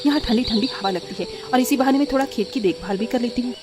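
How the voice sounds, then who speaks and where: A woman as a high-voiced, patient village sister-in-law. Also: fast, noisy background, close to an everyday voice. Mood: cheerful, calm. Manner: gentle, sensible, helpful.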